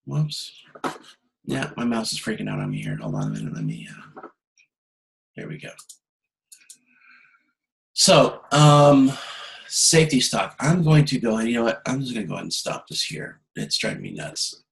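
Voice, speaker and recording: A man giving an informal online lecture as a course instructor, halting and correcting himself as he thinks aloud. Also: lowish voice, big pitch swings, gravelly. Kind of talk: informal lecture explaining slides. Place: indoor room, online video call. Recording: echoey room on video conference.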